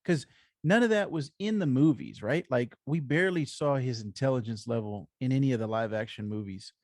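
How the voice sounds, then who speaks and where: Man, mid-pitched, very dry sound. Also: fairly quick, darkish tone, dry recording. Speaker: comics podcast co-host. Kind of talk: casual fan chat.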